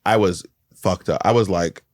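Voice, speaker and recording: A man co-hosting a podcast in casual chat, teasing and prodding with quick questions. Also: low-pitched, dry recording, studio quality. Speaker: podcast co-host.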